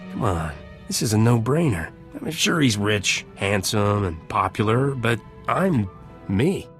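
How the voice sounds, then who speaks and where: An actor playing a cocky, flirtatious smuggler captain in a video game, swaggering and glib with a teasing delivery. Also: male voice, on the low side, big pitch swings, a little slow, a bit bright, soft background music. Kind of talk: scripted video game dialogue.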